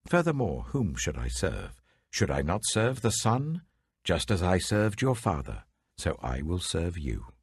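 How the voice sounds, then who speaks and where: A man voicing a cunning, persuasive courtier in a scripture audiobook, speaking with measured, emphatic rhetoric. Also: deep voice, big pitch swings, breathy edge, no room sound, studio quality, full character voice. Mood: flattering. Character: smooth-talking courtier, cunning and persuasive loyalist spy.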